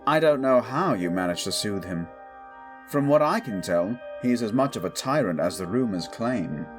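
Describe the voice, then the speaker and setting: A man's voice: on the low side, expressive, lightly acted. A man playing a protective, stubborn young king in a romantic audio drama, formal, earnest and tender. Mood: tender, teasing. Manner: formal, measured, earnest phrasing. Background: background music.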